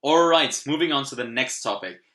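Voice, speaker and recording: A young man from Austria, a German native speaker with an Austrian German accent, gives an upbeat screen-recorded tutorial on language-learning software. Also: medium pitch, animated, moderate pace. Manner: upbeat, explanatory, occasional fillers.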